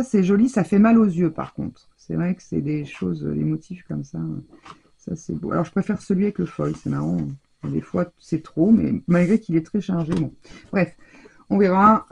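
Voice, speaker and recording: A woman's voice: lowish voice, vocal fry, husky. A woman from France chatting casually and rambling with many fillers as she shows her craft purchases in a haul video. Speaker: craft vlogger.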